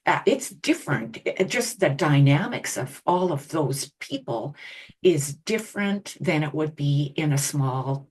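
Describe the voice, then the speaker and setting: A woman hosting an interview, asking her guest questions in a measured, thoughtful way. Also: deep voice, expressive, darkish tone, breathy edge. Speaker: podcast host.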